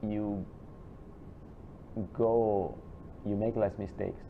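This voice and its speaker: A man giving an informal classroom lecture, chatty and digressive, with many halting restarts and asides. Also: fairly low pitch, level tone, a little hiss or hum. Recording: dull lo-fi classroom recording.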